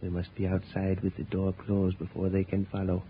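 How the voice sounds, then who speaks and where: A very low-voiced actor's conspirator from an old radio serial, cool and commanding. He speaks in deliberate, formal phrases, and the 1930s recording is muffled and noisy. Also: completely flat delivery, lightly acted. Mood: cool, scheming. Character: scheming, commanding treasure-hunting conspirator, coolly calculating.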